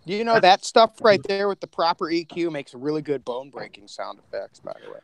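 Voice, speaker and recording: A man's voice: fairly high pitch, sing-song, a little fast, creaky, dry recording. A man talking about his sound work in casual, joking, offhand podcast banter. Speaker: podcast co-creator, sound designer.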